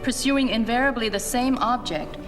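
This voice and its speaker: A woman's voice: fairly high pitch, normal speed. A woman giving a formal, emphatic dramatic reading of a historical document. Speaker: reader. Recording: long hall reverb, music behind.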